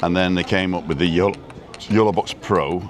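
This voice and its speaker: A man's voice: deep voice, brisk. An English man in his sixties hosting a casual live stream outdoors, chatting with lots of fillers. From England. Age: 60s. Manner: chatty, rambling, with frequent uh fillers. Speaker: host of a live walking stream. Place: outdoors on a busy street. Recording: loud crowd noise.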